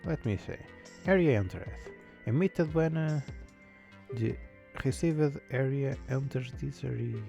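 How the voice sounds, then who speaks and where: A non-native English-speaking man thinks aloud as he codes on a live stream, filling pauses with hums and fillers. His voice is mellow and gravelly, and he talks slowly. Faint music plays behind him. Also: medium pitch, highs cut off. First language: not English. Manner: thinks aloud, mutters to himself, frequent fillers. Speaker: game developer streamer. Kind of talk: casual live-stream coding chat.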